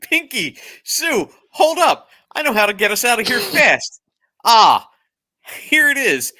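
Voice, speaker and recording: Performer doing a bossy cartoon ghost, cocky, brash, animated pitch, sharp bright tone. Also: unhurried, heavily acted.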